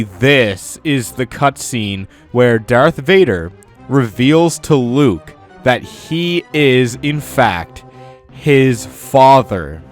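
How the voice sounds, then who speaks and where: A man hosting a scripted countdown video, commenting in a mock-earnest way with exaggerated praise. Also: medium pitch. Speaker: video host. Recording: music under the voice. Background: music.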